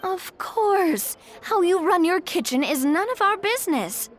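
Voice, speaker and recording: A woman's voice: very high voice, very animated, unhurried, full character voice. An actress playing an earnest, principled young woman in a video game, with a frank, conversational manner. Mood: firm, defiant.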